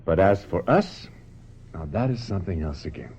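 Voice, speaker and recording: Smooth film director, low voice, persuasive, domineering, radio drama, dull noisy old recording. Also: male voice, animated, normal speed, a little mellow. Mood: persuasive, passionate. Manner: hesitant repetitions, tag questions, smooth persuasion. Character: suave, persuasive, domineering male film director courting an actress.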